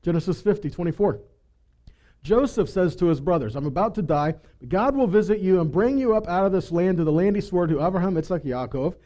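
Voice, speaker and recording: A man delivers a teaching sermon in a high-pitched voice with a soft, mellow tone. He moves between reading scripture and explaining it, often posing rhetorical questions and checking in with his listeners. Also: expressive, a little fast. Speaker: Bible teacher.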